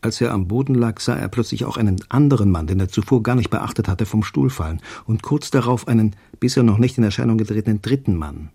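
Low gravelly man reading prose. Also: expressive, fast, few pauses, dark tone. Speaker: reader of literary prose. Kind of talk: literary reading.

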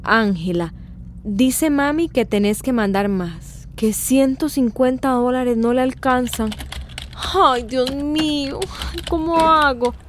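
Sighing young housemaid with slow, high voice. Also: female voice, a little hiss or hum. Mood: musing, worried. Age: young adult. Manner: sighing, exclamatory, musing to herself. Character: young migrant domestic worker, anxious, dreamy, sweet. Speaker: actress in a radio drama. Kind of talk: radio drama acting. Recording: music behind the voice.

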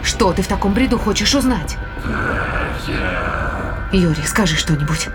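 Music runs behind an actress playing a woman aboard a spaceship. She is blunt and easily frightened, with a mid-pitched voice, in a sci-fi audio drama. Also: animated, moderate pace, lightly acted. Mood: frightened, dismissive.

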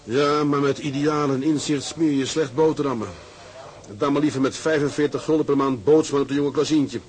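A man's voice: fairly high pitch, fairly even intonation, brisk, a little mellow, natural voice. Man acting a skeptical, down-to-earth seaman. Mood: dismissive. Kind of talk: Dutch radio drama acting.

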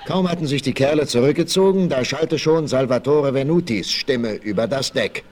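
An actor narrating a western adventure in an emphatic, suspenseful style for a 1960s radio play. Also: male voice, medium pitch, normal speed, darkish tone, lightly acted. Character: dramatic storyteller of a western adventure. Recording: vintage vinyl radio play, faint noise.

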